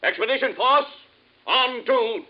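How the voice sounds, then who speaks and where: An actor playing a brisk, suspicious military space commander in vintage radio drama style. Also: male voice, very high-pitched. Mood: barking orders. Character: commanding, wary male space expedition commander. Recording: dull, faintly noisy old radio recording.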